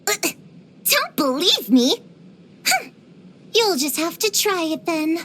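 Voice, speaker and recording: A woman's voice: very high-pitched, big pitch swings, takes it slowly, a bit bright, exaggerated voice. A woman voicing an earnest, bookish young girl witch hunter in a video game, precocious, proud and slightly dramatic. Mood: wary, matter-of-fact. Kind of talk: scripted game voice lines.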